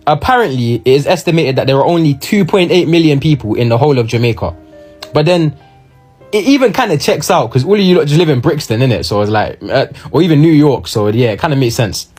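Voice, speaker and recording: A man's voice: on the high side, big pitch swings, brisk, darkish tone, slightly rough, soft background music. A man chatting casually and incredulously to camera.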